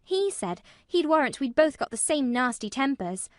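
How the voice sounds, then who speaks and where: Woman voicing an earnest, high-pitched, blunt little girl. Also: very animated, moderate pace, no room sound, clean studio sound. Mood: frank. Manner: frank, matter-of-fact, emphatic. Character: earnest, blunt young girl, solemn and indignant. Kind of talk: acted storybook dialogue.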